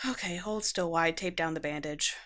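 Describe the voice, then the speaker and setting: Woman playing a flustered young vampire, lower-pitched voice. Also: fairly bright tone, close to an everyday voice. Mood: gentle, explaining. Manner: halting, defensive, sarcastic asides. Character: flustered young female vampire, exasperated but caring. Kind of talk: ASMR roleplay acting, conversational.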